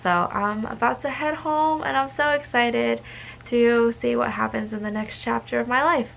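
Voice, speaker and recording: A woman's voice: on the high side, steady tone, normal speed, creaky, fairly bright tone, a little hiss or hum, poor audio. A young woman talking casually to camera in a vlog, chatty, with frequent ums and likes. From United States. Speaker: vlogger.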